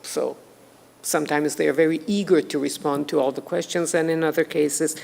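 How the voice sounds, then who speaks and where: A woman giving a formal lecture, measured and hesitant, with frequent pauses and restarts. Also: deep voice, fairly even intonation, vocal fry, a little mellow. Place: lecture hall. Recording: long hall reverb.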